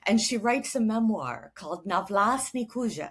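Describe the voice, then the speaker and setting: A woman's voice: mid-range voice, animated, normal speed, fairly bright tone, breathy edge. A woman guest, a historian, talking earnestly and thoughtfully, often restarting her sentences. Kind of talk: casual conversation.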